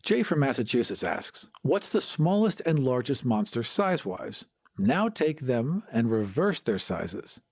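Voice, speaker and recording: A man's voice: mid-range voice, big pitch swings, unhurried, a little mellow, husky, no room sound, clean studio sound. A man co-hosting a podcast, chatting casually and playfully about tabletop games in a conversational way. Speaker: podcast host.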